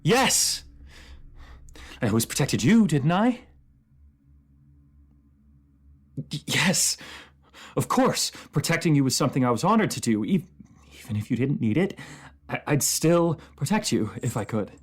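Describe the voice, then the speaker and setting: A voice actor playing a bitter, wounded fantasy ruler in an emotional dramatic monologue, halting and speaking directly to one listener. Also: mid-range voice, very animated, husky. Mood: fervent, flustered.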